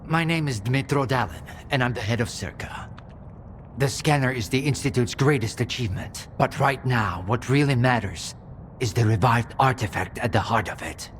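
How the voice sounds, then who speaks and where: An actor playing an earnest, polished scientific leader with visionary zeal, speaking formally and persuasively in a video game cutscene. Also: male voice, mid-range voice, normal speed, natural voice. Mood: earnest persuasion. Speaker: head of a scientific institute. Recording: faint ambient noise behind voice.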